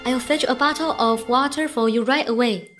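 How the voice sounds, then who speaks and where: A woman's voice: fairly high pitch, moderate pace, lightly acted. A young woman playing an apologetic, obliging train waitress in a student English radio drama. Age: young adult. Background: background music.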